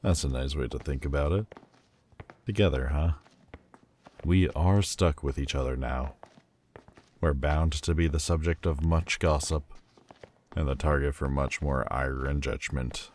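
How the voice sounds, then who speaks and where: Man playing a very deep, haughty fallen demon lord. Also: steady tone, darkish tone. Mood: resigned, devoted. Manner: haughty, theatrical, hesitant pauses. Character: proud, wounded male demon lord turned mortal. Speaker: audio roleplay voice actor. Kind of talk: dramatic ASMR roleplay monologue.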